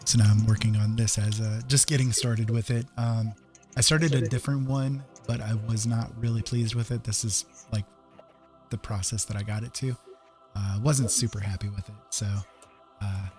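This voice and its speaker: A man hosting a casual livestream as he paints, talking in an easygoing, halting, rambling way. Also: fairly low pitch, fairly even intonation, a little mellow. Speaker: streaming digital artist and host. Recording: music playing under the voice. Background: background music.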